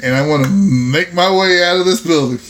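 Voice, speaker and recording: Higher-voiced man playing a tabletop game. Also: a little fast, fairly bright tone. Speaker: player in a tabletop roleplaying game. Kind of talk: casual first-person roleplay chat.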